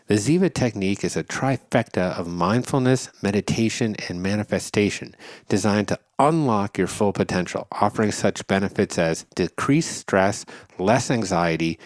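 A middle-aged man hosting a podcast interview, reading a scripted intro and then asking measured, earnest questions. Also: on the low side, no room sound. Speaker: podcast host.

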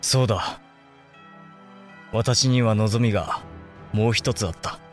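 An actor playing an ambitious, defiant young samurai lord in a Japanese period audio drama, speaking in impassioned, archaic warrior speech. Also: male voice, lowish voice, slow pace, faint music behind. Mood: resolute, yearning.

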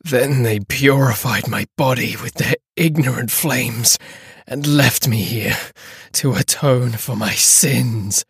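A woman's voice: very deep voice, slow pace, creaky, breathy edge, no echo, clean studio sound, pitched below the performer's own voice, full character voice. A woman voicing a devoted, brave man in love, solemn and tender, in a dramatic gothic story. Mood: pained, tender. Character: devoted, brave young male lover, gentle and solemn.

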